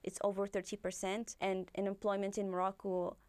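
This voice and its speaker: A woman answering interview questions as a guest analyst, explaining in a measured, steady way. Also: medium pitch, no echo.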